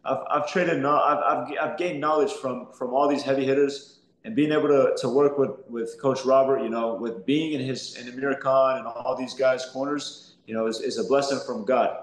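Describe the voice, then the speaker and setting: A man's voice: medium pitch, fairly even intonation, normal speed. A young man, a professional boxer, giving earnest interview answers that stumble and repeat words often. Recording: roomy echo.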